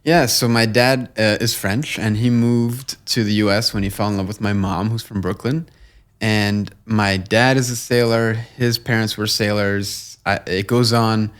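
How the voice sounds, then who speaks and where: A young man from Long Island, guest on an interview show, talking in a measured, earnest way with fillers and restarts. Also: on the low side, fairly even intonation, clear, resonant tone. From Long Island, New York. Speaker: guest, nonprofit co-founder. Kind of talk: conversational interview.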